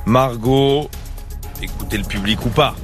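A man's voice: medium pitch, unhurried, faint music behind. A man hosting a radio quiz game, teasing the contestant and stretching out the questions for suspense. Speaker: quiz show host.

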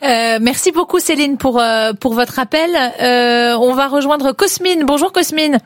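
Woman, radio host, fairly high pitch, dry studio sound, conversational. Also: a little slow, no echo. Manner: conversational, prompting callers. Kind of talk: call-in radio hosting.

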